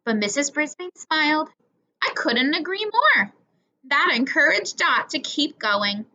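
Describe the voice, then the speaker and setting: A woman reading a children's story aloud in an expressive, deliberate manner, acting out the dialogue. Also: sits high. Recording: dull lo-fi recording.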